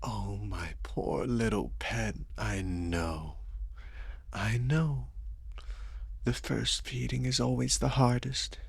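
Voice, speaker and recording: A man's voice: mid-range voice, steady tone, slow pace, no echo, pitched above the performer's own voice, heavily acted. A man playing a seductive, dominant and sadistic vampire in an intimate ASMR audio roleplay, coaxing and condescending, often dropping into whispers. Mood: soothing, possessive. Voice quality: breathy, husky.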